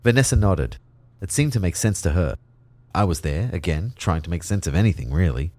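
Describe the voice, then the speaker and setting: An actor playing an uneasy, observant narrator in a first-person audio drama, speaking in a reflective, measured way. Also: male voice, low voice, expressive, a little mellow, no echo. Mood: thoughtful.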